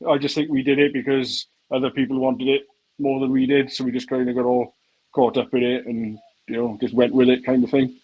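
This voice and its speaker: An easygoing man of middle pitch, talking over slightly dull, muffled call audio. He chats openly and laughs often in relaxed live banter. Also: fairly quick. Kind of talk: casual chat on a live call.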